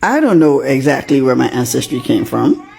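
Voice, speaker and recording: A man's voice: mid-range voice, big pitch swings, normal speed, gravelly, darkish tone, slightly rough, faint music behind. A man from Grenada making his case in a casual reaction clip, emphatic and full of rhetorical questions. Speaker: commenter in a reply clip.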